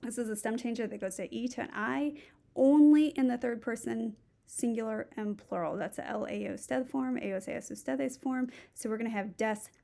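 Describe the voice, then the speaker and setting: A woman's voice: on the high side, a little fast, creaky, dark tone. A middle-aged woman teaching Spanish, a native English speaker, who thinks aloud and walks through each step of a recorded screen lesson. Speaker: Spanish instructor.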